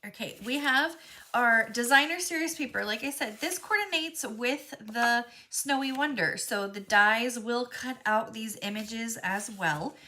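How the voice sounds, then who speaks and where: Woman, craft instructor, live class, stuffy froggy voice, bright tone, chatty with ums. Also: a little high, measured pace. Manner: chatty, friendly, frequent ums.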